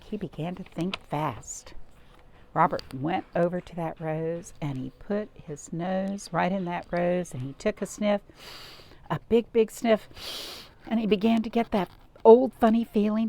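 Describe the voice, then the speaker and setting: A woman's voice: mid-range voice, unhurried, dark tone. A woman hosting a children's storytime, speaking in simple, repeated phrases and addressing young listeners directly. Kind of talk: read-aloud storytelling and craft talk for young children.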